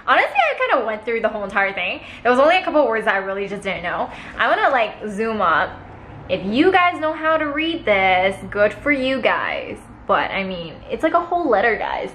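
A young woman chatting casually in a food vlog, unboxing gifts on her sofa and reading aloud haltingly while laughing at herself. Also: mid-range voice, a bit bright, reverberant, a little hiss or hum. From Canada. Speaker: food vlogger. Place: living room, seated on a sofa.

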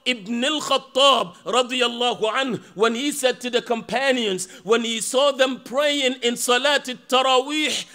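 A man's voice: very high voice, a little slow, few pauses, pushed and bright. A man in his thirties giving a Friday sermon from a mosque pulpit into podium microphones, preaching emphatically with rhetorical questions.

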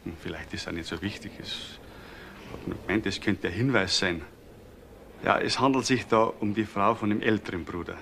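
A man playing a talkative bassist and reluctant witness in a crime radio drama, colloquial. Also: normal speed, vocal fry, a bit bright, a little hiss or hum. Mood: circumspect. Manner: colloquial, chatty, circumspect.

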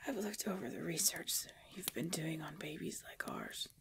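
Woman acting a halting, creaky-voiced, tormented vampire woman. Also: sits low, lively intonation, noisy background. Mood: weary, tender. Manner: breathy, halting, often dropping to a whisper. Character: tormented, devoted pregnant vampire woman, self-denying. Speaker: voice actor in audio roleplay. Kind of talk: intimate ASMR roleplay acting.

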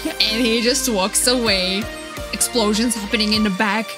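A woman streamer giving excited, casual reaction commentary in a playful, flirty, gushing way. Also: mid-range voice, normal speed. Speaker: streamer reacting to game trailers. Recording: loud music behind. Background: game trailer music and sound.

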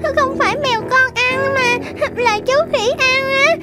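A woman's voice: very high-pitched, steady tone, unhurried, over loud music. A woman voicing a naive, vain young kitten in a children's cartoon, childlike and cutesy, eager to please. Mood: pleading. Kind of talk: animated children's cartoon dubbing.

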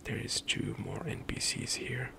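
Young male ASMR gamer, slow gravelly whisper, close-miked. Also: fairly low pitch, steady tone. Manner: soft whispered rambling with light laughs. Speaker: ASMR gaming streamer. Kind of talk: whispered ASMR gameplay commentary. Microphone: close studio mic, headset on.

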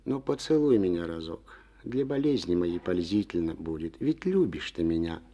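A man's voice: low-pitched, sing-song, normal speed, warm tone, lo-fi sound. An actor playing a stubborn aging farm chairman in a Soviet radio play, coaxing and folksy as he woos a woman. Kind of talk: 1950s radio play acting.

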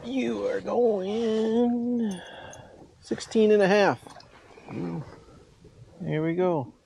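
An excitable man with a slightly raised, gravelly voice talks to an action camera from a fishing boat. Wind and water noise roll through the recording behind him, and his chat stays loose and chatty. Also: high-pitched, very slow. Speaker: fishing vlog host. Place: outdoors on a fishing boat on a windy lake.

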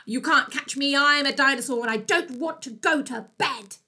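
A woman voicing a naughty young boy dinosaur in a children's story, chanting loud, defiant taunts. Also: sits high, normal speed, voice pushed up. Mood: defiant, taunting.

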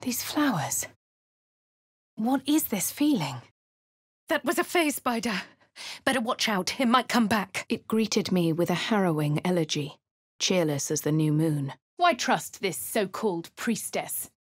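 An actress voicing a posh, haughty female adventurer with a posh English accent, speaking in a cool, measured way in game voice lines. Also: mid-range voice, expressive, breathy edge, dry recording, studio quality. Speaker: player character. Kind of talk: video game voice lines and narration.

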